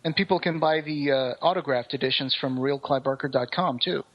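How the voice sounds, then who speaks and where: A man's voice: medium pitch, a little fast, muffled recording. A man co-hosting a podcast in casual chat, talking with enthusiasm and firm opinions. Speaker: podcast co-host.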